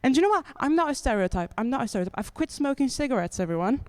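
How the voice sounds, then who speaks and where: A woman's voice: high-pitched, big pitch swings, racing, no room sound, clean studio sound. A young woman from France performing stand-up comedy with a wry, chatty delivery that keeps checking in with the audience. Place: comedy club stage.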